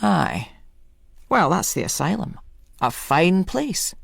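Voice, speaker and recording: An old Scottish countryman, acted by a woman storyteller, proud and a little cracked, with a gravelly voice that swoops around. He talks in folksy dialect with matter-of-fact pride, as in a dramatic audio story. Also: fairly low pitch, very animated, slow pace, no echo, studio quality, heavily acted. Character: old rustic male hedger, proud, eccentric asylum leaver.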